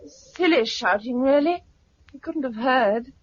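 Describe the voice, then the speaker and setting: An actress playing a gentle, supportive British wife surviving a catastrophe, in a 1950s radio drama manner. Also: female voice, high voice, animated, unhurried. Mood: rueful. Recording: muffled, noisy 1950s radio recording.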